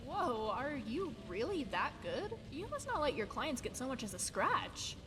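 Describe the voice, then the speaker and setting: A woman's voice: sits high, very animated, moderate pace, noisy background. A woman playing a scatterbrained, bookish young female scholar in a video game, haughty and easily distracted, with hesitant asides. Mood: excited, bargaining. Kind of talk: video game companion dialogue. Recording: game music behind the voice.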